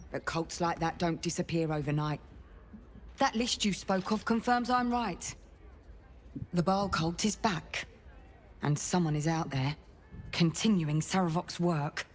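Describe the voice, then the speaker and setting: An actress playing an earnest, dogged city guard investigator in a video game, with an intense, deliberate, confiding delivery. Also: female voice, on the low side, a little hiss or hum, close to an everyday voice. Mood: grave. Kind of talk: dramatic game dialogue. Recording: background music under the voice.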